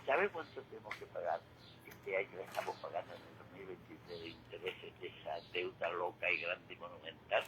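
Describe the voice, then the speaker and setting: A man's voice: low-pitched, a little fast, noisy background. A man with an Andalusian accent giving conversational economic commentary on radio, emphatic and didactic, repeating key figures to drive them home. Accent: Andalusian Spanish. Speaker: radio commentator on current affairs. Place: local radio studio.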